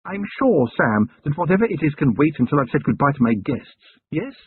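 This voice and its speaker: A man reading aloud and voicing a refined, gently commanding aristocratic wife in a husky, raised voice. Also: fairly high pitch, very animated, a little fast, voice pushed up, heavily acted. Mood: poised. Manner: poised, gently bossy. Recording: thin phone-like sound.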